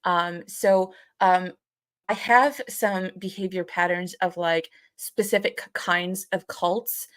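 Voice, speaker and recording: A woman's voice: fairly low pitch, steady tone, takes it slowly, a bit bright, clean tone. A woman co-hosting a live podcast, chatting informally and hesitantly as she searches for her words. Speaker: podcast co-host. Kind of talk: casual live podcast chat.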